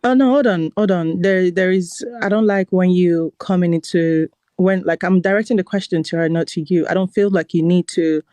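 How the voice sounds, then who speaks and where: A woman's voice: on the low side, moderate pace, hardly any pauses, mellow, dry recording. Combative woman from Nigeria, dry sound. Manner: combative, interrupts, repeats "hold on". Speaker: guest caller on a live stream. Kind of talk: heated confrontation.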